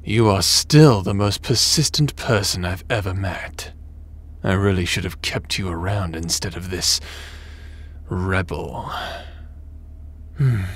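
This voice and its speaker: A man playing a smug, ruthless crime boss and sci-fi villain in an audio roleplay, taunting and theatrical in a deliberate delivery. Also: sits low, breathy edge, heavily acted.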